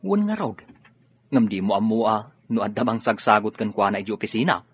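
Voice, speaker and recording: A man's voice: on the low side, very animated, brisk, slightly rough, dry recording. A man playing a deferential, gossipy employee talking with his lady boss in a radio drama. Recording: dull lo-fi radio sound.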